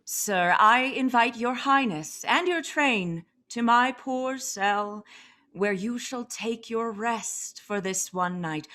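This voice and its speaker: Woman doing weary exiled wizard duke, shakespeare radio play, really slow and measured, grand formal delivery. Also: mid-range voice, a bit bright. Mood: stately, gracious. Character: Prospero, commanding, weary exiled duke and magician.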